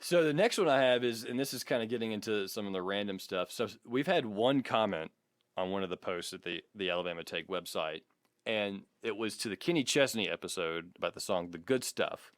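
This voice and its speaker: A man's voice: fairly low pitch, animated, a little fast, no echo, studio quality. A man co-hosting a podcast in casual, joking, sweary chat, reading out listener comments. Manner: profane, joking. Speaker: podcast co-host.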